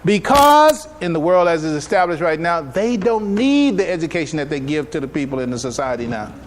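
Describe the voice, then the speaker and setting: Folksy American man lecturing, higher-pitched, noisy recording. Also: very animated, fairly quick. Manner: conversational, folksy, checks in with listeners using rhetorical questions. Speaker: imam giving a public address and taking questions. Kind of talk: informal religious lecture to a congregation. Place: live gathering at a mosque, speaking to attendees. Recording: noisy live room recording.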